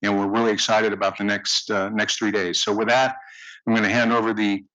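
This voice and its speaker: A man director giving short opening remarks at a conference, halting and full of restarts and fillers. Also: fairly low pitch, level tone, a bit bright, no room sound. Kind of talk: informal welcome remarks. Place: conference hall stage. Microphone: podium microphone. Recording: dry, slightly dull conference audio.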